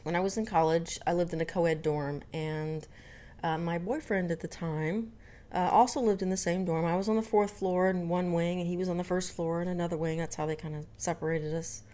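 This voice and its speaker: A woman's voice: lowish voice, fairly even intonation, a little fast, warm tone. A young adult woman from Dallas with no regional accent, telling a story casually to camera with lots of fillers and restarts. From Dallas, Texas. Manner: chatty, many fillers and restarts. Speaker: vlogger.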